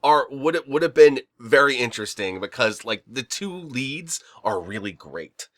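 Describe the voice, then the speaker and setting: A man's voice: medium pitch, lively intonation, measured pace, fairly bright tone. A man with a cold, sounding a bit stuffed up, hosting a casual horror movie review chat in a rambling way with lots of repeated words. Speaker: horror review vlog host.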